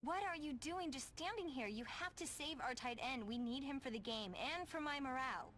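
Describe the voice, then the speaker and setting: A woman's voice: sits high, a little fast, natural voice. A woman as a bossy, catty teenage girl. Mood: demanding, catty. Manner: demanding, catty. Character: bossy, self-absorbed teenage cheerleader type. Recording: music over the voice.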